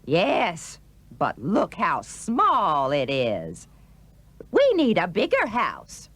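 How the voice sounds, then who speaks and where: A woman playing a demanding, excitable fisherman's wife in a musical children's story, exclamatory and insistent. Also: on the low side, no room sound, heavily acted. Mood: eager, scheming. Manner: exclamatory, insistent, slow and deliberate.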